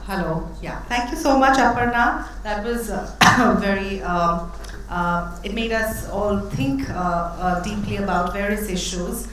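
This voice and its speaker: A woman's voice: mid-range voice, a little slow, background noise. A woman moderating a conference panel, hesitant and full of fillers. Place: large conference hall. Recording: roomy hall, speaker far from mic.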